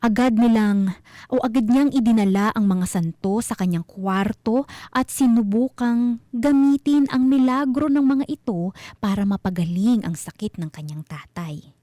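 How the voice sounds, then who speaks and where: A woman with a Filipino accent narrating a scripted mystery story as host, in a measured, suspenseful manner. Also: on the high side, warm tone, dry recording, clean studio sound.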